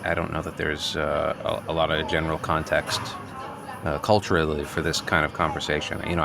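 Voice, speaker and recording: A man playing an earnest, neurotic, self-absorbed friend in an improvised radio comedy, halting and over-explaining. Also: a bass voice. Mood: awkward, earnest. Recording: clearly noisy background ambience.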